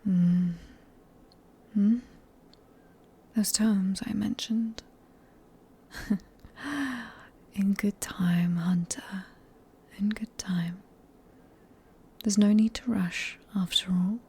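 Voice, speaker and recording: Seductive female vampire, British, played by a woman for intimate ASMR audio; hushed, soft-toned, slow, teasing and sadistic under courtly manners. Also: mid-range voice, exaggerated voice. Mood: coaxing, teasing. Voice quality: breathy, largely whispered. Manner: slow, teasing, formally polite, coaxing. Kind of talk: ASMR roleplay acting, close and whispered.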